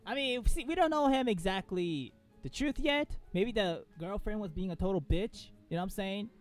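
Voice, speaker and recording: A man reacting casually to a game, excitable and full of slang and swearing. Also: sits high, moderate pace, soft background music. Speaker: let's-play co-host.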